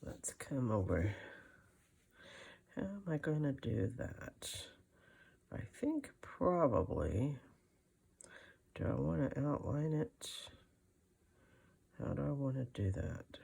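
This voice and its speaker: A woman's voice: rumbling low, big pitch swings, slow, gravelly, pauses often, mellow, slightly rough. An older woman giving a casual hand-stitching tutorial, thinking aloud in a folksy, rambling, muttering way. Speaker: craft video host.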